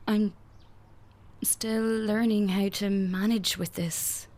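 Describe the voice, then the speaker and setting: A woman with an Irish accent playing a fragile, hopeful young woman recovering from a crisis, speaking haltingly in a naturalistic radio drama. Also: mid-range voice, steady tone, a little mellow, close to an everyday voice. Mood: gentle, hopeful.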